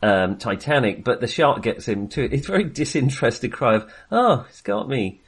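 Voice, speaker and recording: A man co-hosting a podcast, chatting casually about films in a halting, jokey, self-deprecating way. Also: mid-range voice, animated, breathy edge. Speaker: podcast co-host. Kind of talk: casual film discussion.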